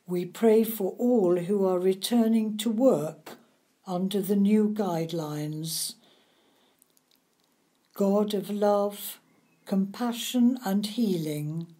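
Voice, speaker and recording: A woman reading intercessory prayers for a church service in a measured, reverent, deliberate manner. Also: medium pitch, dark tone. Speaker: lay prayer leader.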